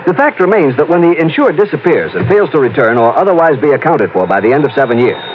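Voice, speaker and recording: A man's voice: on the high side, animated, fast talker, close to an everyday voice. An actor playing a stuffy, careworn male insurance company official in an old-time radio drama, formal, pompous and fussy. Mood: pedantic. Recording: dull, noisy old radio recording.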